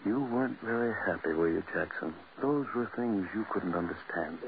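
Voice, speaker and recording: A man's voice: fairly low pitch, moderate pace, gravelly. A man playing a haunted, regretful scientist, brooding and questioning himself in an old radio drama monologue. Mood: haunted, musing. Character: haunted, regretful scientist brooding over his failed plan. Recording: tinny, noisy vintage radio recording.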